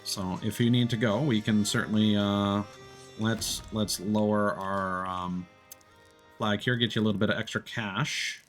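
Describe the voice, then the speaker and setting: A man's voice: lowish voice, fairly even intonation, a little slow, a bit bright, faint music behind. A man hosting a game livestream, chatting casually in an easygoing, hesitant way with trailing sentences. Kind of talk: casual gaming chat.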